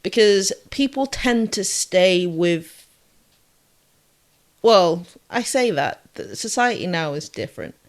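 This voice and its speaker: A woman's voice: medium pitch, sing-song, takes it slowly. A woman born in Jamaica and raised in the south of England, with a southern English accent, chatting casually and thinking aloud with restarts. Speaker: podcast co-host. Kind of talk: casual conversational chat.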